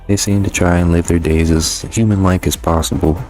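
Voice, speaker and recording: A man's voice: sits low, fairly even intonation, moderate pace, darkish tone, soft background music. A man giving a casual, conversational video game review voice-over in slangy, sometimes profane language.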